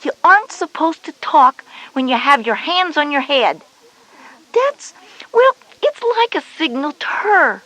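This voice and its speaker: A schoolgirl big sister, with a high voice and lively swooping intonation. She explains things patiently but a little bossily, stressing her points in a scripted children's educational story. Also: measured pace, exaggerated voice, poor audio. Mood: explanatory. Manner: explanatory, emphatic. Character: knowing, patient but slightly bossy older sister Jenny, schoolgirl.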